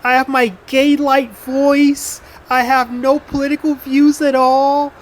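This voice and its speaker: A man putting on a high, over-excited voice to mock a shallow casual male gaming YouTuber. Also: takes it slowly, a little hiss or hum. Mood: giddy, gushing. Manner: breathless, over-excited gushing.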